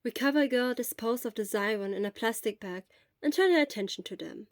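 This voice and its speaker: Woman narrator reading a story aloud, expressive, higher voice, really dry close mic. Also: a little fast, no echo.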